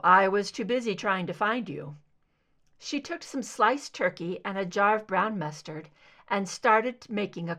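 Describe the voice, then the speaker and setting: A woman's voice: mid-range voice, fairly bright tone. A woman reading scripted fiction aloud as an audiobook narrator. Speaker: amateur audiobook reader.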